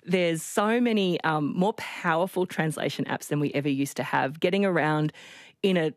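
A woman with an Australian accent hosting a talkback radio show, interviewing guests and callers in a brisk, friendly way. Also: sits low, no room sound, clean studio sound. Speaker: radio host.

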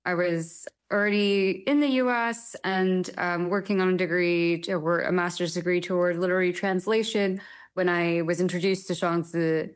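A young woman from Taiwan, a literary translator, answering interview questions thoughtfully with frequent pauses and ums. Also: lowish voice, fairly even intonation. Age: young adult. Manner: hesitant, thoughtful, many ums.

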